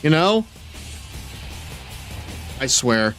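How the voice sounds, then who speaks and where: A man chatting casually with his chat while playing a wrestling game on stream, laid-back and drawling, and given to sudden excited shouts. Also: on the high side, drawn-out words, soft background music.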